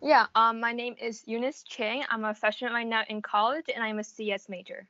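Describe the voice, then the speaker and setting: A woman's voice: on the high side, steady tone, a little fast, pushed and bright, no echo, muffled recording. A young American woman in her late teens giving casual interview answers, informal and often hedging as she explains. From United States. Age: around 18 to 19. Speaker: interviewee, college student.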